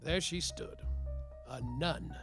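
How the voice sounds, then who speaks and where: An actor playing a semi-sober, world-weary, boastful private detective, narrating a comic noir radio play with theatrical flair. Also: male voice, a little high, slow, fairly bright tone, faint music behind. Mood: dramatic.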